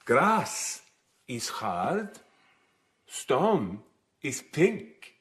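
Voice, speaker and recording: An actor playing a relentless, methodical male interrogator who chants short phrases deliberately in a radio drama. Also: medium pitch, very animated, a bit bright, exaggerated voice. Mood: insistent. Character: relentless, methodical foreign interrogator drilling a prisoner.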